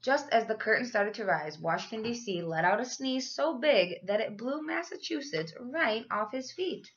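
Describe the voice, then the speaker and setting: A woman reading a children's picture book aloud like a teacher, in an expressive, animated manner. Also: on the high side, moderate pace. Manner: animated, acts out dialogue. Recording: dull, lo-fi sound.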